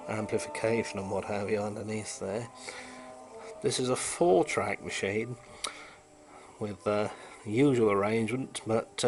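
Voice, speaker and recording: A British man casually talking through a repair, unscripted, in a rambling, wryly understated way. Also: on the low side. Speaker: hobbyist repairer and presenter. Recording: noisy amateur camera sound.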